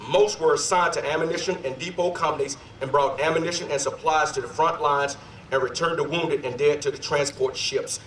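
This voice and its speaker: A man in his forties whose voice is a little hoarse speaks loosely and energetically, full of fillers, as he addresses an outdoor ceremony. His voice reaches the mic from a distance, with echo. Faint background noise sits underneath. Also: fairly high pitch, steady tone, fairly quick, a bit bright. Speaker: sergeant major giving a history talk. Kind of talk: ceremonial speech.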